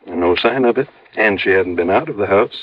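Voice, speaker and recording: Grave doctor, low voice, precise deliberate explanations, vintage radio mystery, dry muffled recording. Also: male voice, husky, no room sound. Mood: serious. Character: earnest, precise male small-town doctor and medical sleuth. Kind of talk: 1950s radio drama acting.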